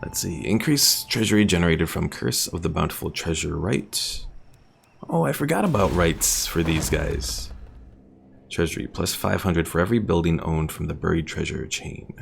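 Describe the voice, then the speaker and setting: A man's voice: low voice, animated, normal speed, creaky, a little hiss or hum. A man giving casual commentary on a game as a streamer, thinking aloud as he plays. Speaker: gaming streamer. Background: faint game music.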